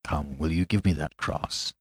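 A man's voice: expressive, normal speed, dry recording, clean studio sound. A man doing a bold master thief. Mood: low menacing. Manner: low and menacing, turning boisterous and mocking. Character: great robber, a big bold master thief.

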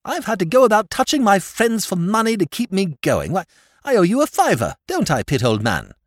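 A man voicing a petulant young aristocrat who rants in shrill, old-fashioned upper-class slang. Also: wildly swooping pitch, a little fast, no echo, clean studio sound, exaggerated voice. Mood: ranting.

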